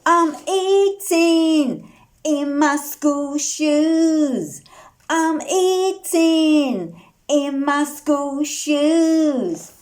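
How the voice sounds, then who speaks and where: A woman reading a picture book aloud to young children, animated and sing-song, putting questions to the listeners and singing the refrains. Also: sits high, extremely slow.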